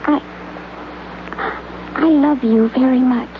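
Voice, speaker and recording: A young actress plays a gentle, trusting young woman who speaks softly and stumbles over her words in a 1950s radio drama. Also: high-pitched, steady tone, takes it slowly. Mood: earnest. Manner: hesitant, soft-spoken, stumbles over words. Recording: tinny, hissy old radio broadcast.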